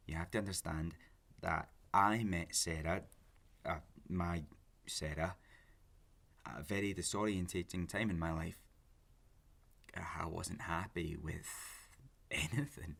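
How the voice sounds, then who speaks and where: Audio drama role: needy, obsessive man in love, creaky husky voice, self-justifying and intense. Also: sits low, a bit bright, full character voice. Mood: subdued, earnest. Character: obsessive, pleading, lovesick lonely man on a date. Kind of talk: naturalistic audio drama acting.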